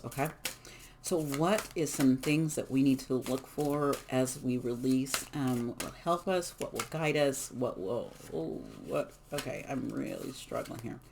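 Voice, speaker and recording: A card reader giving a casual collective reading, hoarse from losing their voice, talking in an emphatic, encouraging way with many fillers. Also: mid-range voice, darkish tone, slight background noise. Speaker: tarot and oracle card reader.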